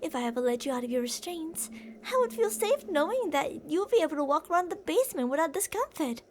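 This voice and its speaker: Woman acting a high-pitched obsessive yandere kidnapper. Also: very animated, a little fast, slight background noise. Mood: musing, possessive. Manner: theatrical, teasing sing-song with endearments and threats. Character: older obsessive yandere woman kidnapper, possessive, menacing, sweet-talking. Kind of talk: ASMR roleplay acting.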